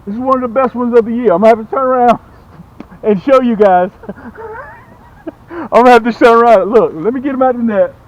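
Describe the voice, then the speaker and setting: A man's voice: very high voice, lively intonation, fairly quick, pauses often, dull audio. A man hosting a fishing show outdoors, chatting in an exclamatory way and repeating his phrases for emphasis as he demonstrates tackle. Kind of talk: excited fishing vlog chat, demonstrating and selling tackle.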